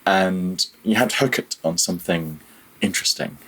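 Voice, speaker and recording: Male podcast guest, deep husky register, self-deprecating and informal. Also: normal speed, a bit bright. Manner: candid, self-deprecating, wry. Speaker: podcast guest, audio software developer. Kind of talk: casual interview talk.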